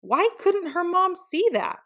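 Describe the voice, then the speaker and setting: A woman's voice: extremely high pitch, sing-song, slow, fairly bright tone. A woman reading a children's picture book aloud as a storyteller. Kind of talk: scripted children's story narration.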